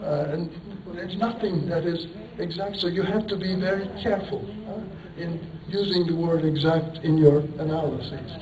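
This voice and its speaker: A man's voice: a little high, normal speed, few pauses, crowd noise. Man lecturing in an echoey hall. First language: Turkish. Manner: rambling, self-deprecating, poses rhetorical questions, chuckles. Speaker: engineering professor giving a lecture. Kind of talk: conference lecture. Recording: echoey hall, voice picked up from a distance.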